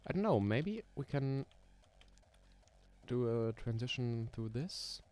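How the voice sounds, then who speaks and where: A man's voice: medium pitch, expressive, takes it slowly, a little mellow, a little hiss or hum. A young German man with a German accent, streaming casually while he builds roller coasters and thinks aloud with many ums and small laughs. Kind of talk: casual live stream chat. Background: keyboard typing.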